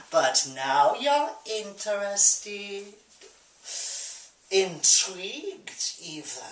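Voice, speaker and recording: A man playing a flamboyant, bitter East German drag rock diva in a grandiose, campy stage monologue with dramatic pauses. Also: high voice, pushed and bright, exaggerated voice. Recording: roomy echo, distant microphone.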